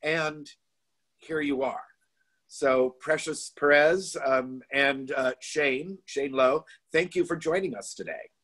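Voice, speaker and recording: A man's voice: fairly high pitch, slow pace, a bit bright. A middle-aged man hosting a virtual award event from a home study, friendly and easygoing, speaking into a laptop mic. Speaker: event host. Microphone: laptop video call.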